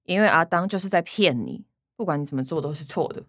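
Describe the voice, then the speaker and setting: A woman's voice: sits low, animated, fast, no room sound, studio quality. A woman playing a blunt, impatient younger woman of a family, with a Taiwanese accent, in a radio drama. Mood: bitter, fed up.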